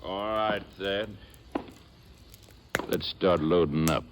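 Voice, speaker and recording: An actor playing a brisk, businesslike outlaw in a radio western, curt and commanding. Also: male voice, deep voice, over loud music. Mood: menacing, brisk. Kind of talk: old-time radio western acting.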